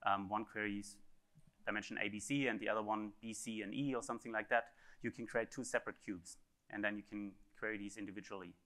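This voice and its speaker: A man speaker answering audience questions after a technical conference talk, pausing often with fillers and restarts. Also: fairly low pitch, steady tone, a bit bright. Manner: hesitant, many fillers and restarts.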